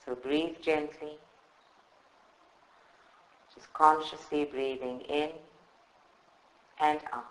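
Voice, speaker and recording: A man leading a guided meditation in a calm, soothing, measured way with long pauses. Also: fairly high pitch. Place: indoor room at a group meditation session. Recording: roomy, echoing live recording.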